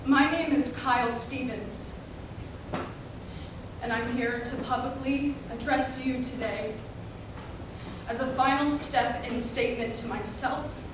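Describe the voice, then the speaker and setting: A young woman giving a solemn, deliberate scripted dramatic recitation as a reader's theater performer. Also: steady tone. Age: young adult. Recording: echoey room, distant mic, clear rumbling noise.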